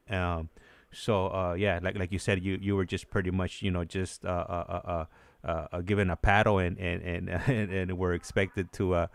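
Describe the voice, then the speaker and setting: A man hosting a casual call, talking in a halting, repetitive and agreeable way. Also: deep voice, dry recording. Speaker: show host. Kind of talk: casual phone conversation. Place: at home.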